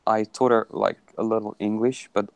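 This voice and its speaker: A man's voice: on the low side, level tone, gravelly, clear, resonant tone, no echo. Man, Afrikaans first language, hesitant casual chat, dry close sound. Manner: hesitant, halting, many restarts. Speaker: guest. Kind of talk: casual video call chat.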